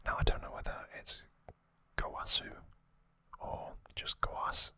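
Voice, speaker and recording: An ASMR host whispering a recap in a breathy, unvoiced voice, hushed and pausing between short phrases.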